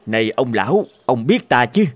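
Proud, commanding cartoon emperor, lively pitch. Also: male voice, moderate pace, no echo. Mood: puzzled, commanding. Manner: imperious, declamatory. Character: proud, stern male conquering emperor, commanding and curious. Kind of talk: dubbed cartoon dialogue.

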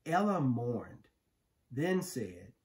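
Storybook reader: American man, middle-aged, slow and emphatic delivery, animated intonation. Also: medium pitch. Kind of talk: read-aloud of a children's picture book. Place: living room, indoors on a couch. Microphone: camera a few feet away.

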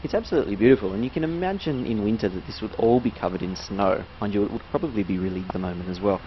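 A dull, lo-fi recording with loud noise carries an Australian man's low voice. He narrates a trip casually, chatting and explaining as he goes in an informal, unscripted way. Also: very fast. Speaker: travel video narrator.

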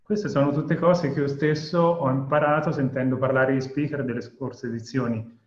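A man's voice: medium pitch, steady tone, moderate pace. A man in his thirties from Italy presenting an online webinar as event co-founder over a video call. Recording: echoey, dull webinar audio.

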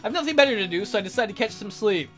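Female let's player, wry and irreverent, animated reading of game text over background music. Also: medium pitch, very fast. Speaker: let's play host. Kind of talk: reading a visual novel aloud with asides.

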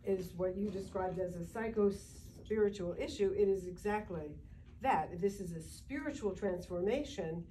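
An older woman asks a question from her seat in a meeting room, far from the camera, with no microphone. She speaks softly and hesitantly, rambling a little, in a mellow, dark voice. A faint hiss of room noise runs under her words. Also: medium pitch, moderate pace. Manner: soft-spoken, hesitant, rambling. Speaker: audience member asking a question. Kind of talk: question from the floor.

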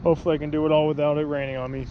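Male motorcycle travel vlogger, plainspoken and casual, even delivery, clear outdoor ambient noise. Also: a little high, rapid-fire, phone-quality sound. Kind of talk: casual vlog chat. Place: outdoors by the roadside. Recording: noisy outdoor recording with traffic ambience.

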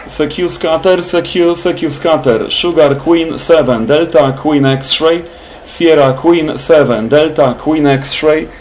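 A man's voice: on the high side, takes it slowly. A man working as an amateur radio operator, making clipped, repetitive contact calls with phonetic call signs. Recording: noisy room with radio static.

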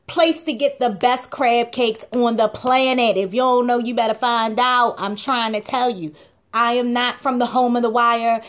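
A young woman talks straight into her camera from a small room. Her delivery is chatty and playful, with emphatic repeated phrases that drive each point home. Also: fairly high pitch. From Baltimore, USA. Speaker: blog co-host. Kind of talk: casual talking-to-camera vlog.

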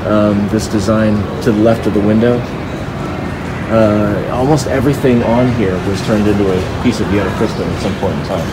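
A man in his forties giving a casual walking tour to camera outdoors, explaining informally and haltingly as he points things out. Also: on the low side, fairly even intonation. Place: outdoors in a paved city square. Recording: noisy outdoor city ambience with traffic.

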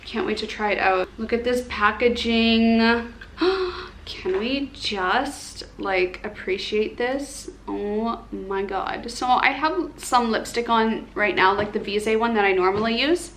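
A young woman with a fairly high voice chats casually as a vlogger. She is bubbly and pokes fun at herself in an informal way. She records indoors at home, with noticeable room noise behind her. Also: animated, takes it slowly. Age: 20s. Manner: bubbly, self-deprecating, informal. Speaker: beauty and lifestyle vlogger. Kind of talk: casual chatty vlog.

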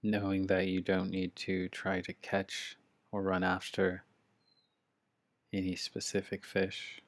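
A man leading a live guided meditation, speaking calmly and slowly with long pauses between phrases, from home over a video call. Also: sits low, no echo. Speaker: meditation teacher. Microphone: video call mic.

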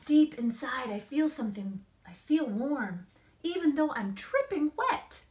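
A woman in her forties, an author reading and explaining things to children in an expressive, emphatic storytelling manner. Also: high voice, unhurried. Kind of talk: expressive picture-book read-aloud and explanation to children. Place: small indoor room against a plain wall.

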